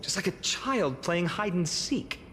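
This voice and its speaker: A woman's voice: on the low side, expressive, unhurried, fairly bright tone. A woman voicing a curious, earnest and thoughtful young traveler in scripted game dialogue, with heavy reverb. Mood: curious, wondering. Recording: long hall reverb over music.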